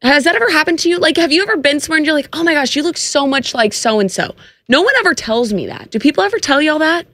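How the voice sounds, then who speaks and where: A woman's voice: high voice, animated, fast talker, fairly bright tone, no room sound. A woman co-hosting a casual podcast, asking bubbly, curious questions. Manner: bubbly, inquisitive. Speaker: podcast co-host. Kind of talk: casual chat.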